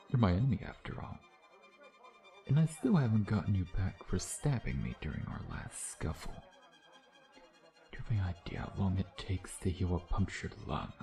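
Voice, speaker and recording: A man's voice: low-pitched, warm tone. A man playing a suave vampire nemesis in an intimate ASMR roleplay, with a smug, sardonic, teasing drawl that mixes flirtation and menace. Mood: seductive menace.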